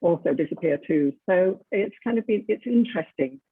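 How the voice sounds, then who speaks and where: A woman's voice: fairly low pitch, animated, a little fast, husky, no echo. A woman in her seventies giving a candid, reflective talk as a guest speaker. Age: 70s. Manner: candid, reflective, laughs easily. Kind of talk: talk on a video call. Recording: dry, phone-like, tinny call audio.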